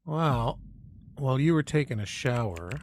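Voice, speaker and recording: A man's voice: mid-range voice, animated, moderate pace, a little mellow, slight background noise, natural voice. A man playing a persistent amateur researcher with a casual, wry manner in an audio drama. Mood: intrigued, wry.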